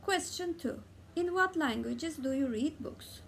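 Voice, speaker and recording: A young Turkish woman with a Turkish accent, talking casually as a book vlogger and pausing often with "uh" between words. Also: high-pitched, animated, gravelly, slight background noise. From Turkey. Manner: hesitant, many "uh" pauses between words. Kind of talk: casual tag video talk.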